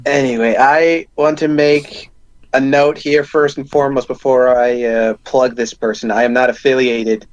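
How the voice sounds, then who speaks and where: A man's voice: mid-range voice, level tone, normal speed, highs cut off. A man hosting a casual panel talk and moving it along through news items. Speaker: podcast host.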